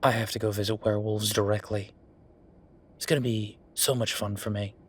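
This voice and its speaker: A man's voice: lowish voice, level tone, brisk. A man playing a frustrated, world-weary werewolf official talking intimately with his partner in an audio roleplay, in a conversational, sighing way. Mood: frustrated, resigned. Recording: clear background noise.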